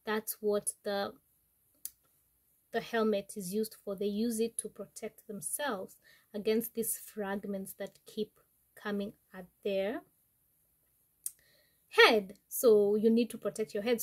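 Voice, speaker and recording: A young woman with a Kenyan accent giving an informal Bible lesson to camera, chatty and halting, often correcting herself. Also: mid-range voice. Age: young adult. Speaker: Bible teaching vlogger. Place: small wood-panelled room indoors.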